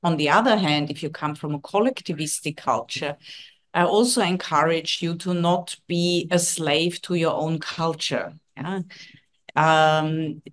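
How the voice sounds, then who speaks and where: A woman's voice: low-pitched, steady tone, unhurried. A woman in her forties, not a native English speaker, speaking earnestly as a communication trainer on a video call, often checking in with "yeah?". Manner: earnest, coaching tone, frequent "yeah?" tags. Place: home office.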